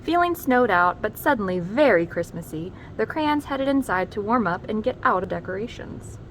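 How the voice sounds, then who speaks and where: A woman presenting a read-aloud, narrating a children's storybook expressively with measured emphasis on names and key phrases. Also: mid-range voice, expressive, fairly bright tone, a little hiss or hum, low-quality recording.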